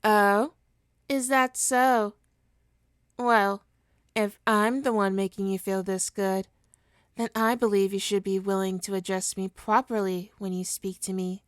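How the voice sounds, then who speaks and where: A woman playing a smug, seductive supervillain in an ASMR roleplay, coaxing and teasing her hero nemesis with deliberate, hypnotic talk. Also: medium pitch, flat delivery, smooth, dry recording, studio quality, natural voice. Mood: smug, commanding.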